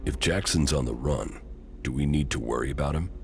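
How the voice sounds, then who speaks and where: Gruff, curt warrior commander for a game; rock-bottom pitch, gravelly, clipped and flat delivery. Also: male voice, a little fast, soft background music. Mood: terse. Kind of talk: video game character dialogue.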